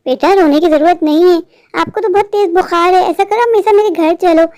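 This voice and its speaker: A gentle, high-voiced motherly woman, played by an actress in a cartoon moral tale. She sounds kind and reassuring, with a dry, close sound. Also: brisk, dry recording. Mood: concerned. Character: kind, protective motherly woman taking in a child. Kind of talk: melodramatic Hindi cartoon moral story.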